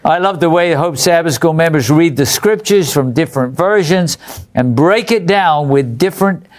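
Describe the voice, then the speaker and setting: A man's voice: on the high side, normal speed. A man hosting a religious study program, reading out viewer letters and putting questions to his group and viewers.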